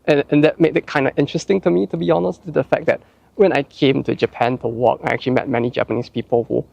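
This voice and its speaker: Gravelly young man with a Singaporean accent. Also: medium pitch, darkish tone, dry recording. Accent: Singaporean English. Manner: rambling, heavy use of "like", frequent restarts. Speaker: channel host answering viewer questions. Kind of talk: casual chat.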